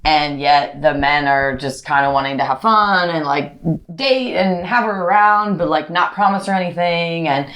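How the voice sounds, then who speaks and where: A woman's voice: sits low, normal speed, fairly bright tone. A woman co-hosting a casual conversation, thinking aloud reflectively with fillers. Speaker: co-host.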